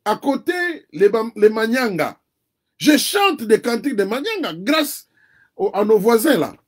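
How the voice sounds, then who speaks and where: A man's voice: high-pitched, sing-song, unhurried, slightly rough. A Congolese man whose first language is Kikongo, speaking as an interview guest in earnest conversational commentary and building his argument point by point. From Democratic Republic of the Congo. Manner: earnest, argues point by point with repetition.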